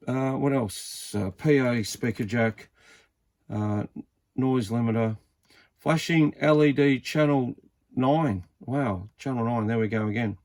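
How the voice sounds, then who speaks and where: A man's voice: medium pitch, a little mellow. A man giving a casual, informal unboxing commentary, muttering to himself as he handles things. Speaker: hobbyist reviewer of vintage CB radios. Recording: clear background noise, handling clicks.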